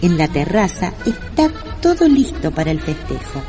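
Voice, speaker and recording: A woman's voice: lowish voice, very animated, moderate pace, over music. A woman playing a neighbor who tells the story in a radio play. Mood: storytelling. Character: female neighbor recounting events as storyteller.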